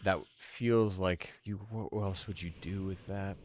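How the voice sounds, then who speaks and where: A man's voice: deep voice, steady tone, a little slow, a little mellow, a little hiss or hum. A man in his thirties giving casual, conversational gameplay commentary as a streamer, thinking aloud into a close mic. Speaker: game streamer, podcast host.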